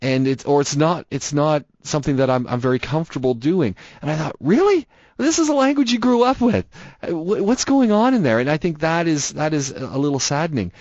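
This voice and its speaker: A man's voice: fairly high pitch, animated, fairly quick, darkish tone, dry recording, muffled recording. A man hosting a radio call-in show, musing aloud, repeating words and asking listeners many questions.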